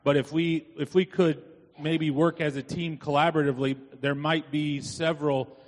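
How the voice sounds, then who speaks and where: Middle aged guy doing church announcements, kinda high voice, rambly and halting, big echoey hall. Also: flat delivery. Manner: halting, long-winded. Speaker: church committee chair making announcements. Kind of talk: informal spoken announcements to a congregation. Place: inside a church. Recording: church hall with long reverb.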